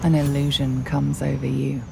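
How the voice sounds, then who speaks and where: A woman narrating a fantasy game in a measured, hushed, dramatic storyteller's delivery. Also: very deep voice, monotone, creaky, background noise. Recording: loud music behind. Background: loud game music.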